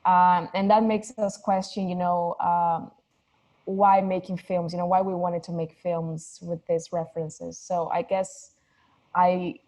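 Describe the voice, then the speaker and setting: Low, mellow-voiced woman, musing aloud. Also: little pitch movement, unhurried. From Mexico. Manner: thoughtful, searching for words, many restarts. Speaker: filmmaker guest. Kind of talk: casual interview conversation.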